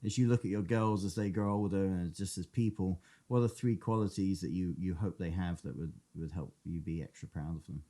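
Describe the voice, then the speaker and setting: A man with an English accent hosts a podcast, his voice low and mellow. He asks long, friendly questions that run through several parts. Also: brisk, few pauses. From England. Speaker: podcast host. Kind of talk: casual interview talk.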